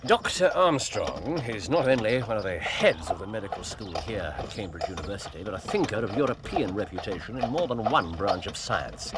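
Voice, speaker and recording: A shrewd Victorian English detective, played by a male actor, speaking with theatrical precision. His pitch swings widely as he reasons with assurance in period drama style. There is clear ambient noise behind him. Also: lively intonation, close to an everyday voice. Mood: brisk, assured. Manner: theatrical, deliberate, incisive. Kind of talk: period radio drama dialogue. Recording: clearly noisy, ambient background.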